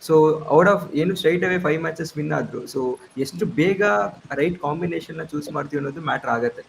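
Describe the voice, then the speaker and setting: Very fast gravelly Indian man. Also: fairly high pitch, a little hiss or hum, rough recording. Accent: Indian, Kannada. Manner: mixes Kannada and English. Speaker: panel host of a cricket show. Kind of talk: casual sports discussion.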